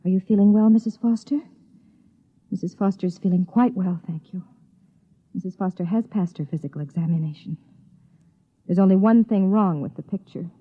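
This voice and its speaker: Actress as husky, uneasy woman passenger. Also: mid-range voice, moderate pace, pauses often, close to an everyday voice. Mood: anxious brooding. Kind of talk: 1950s radio drama, second-person narration. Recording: muffled, tinny 1950s radio recording.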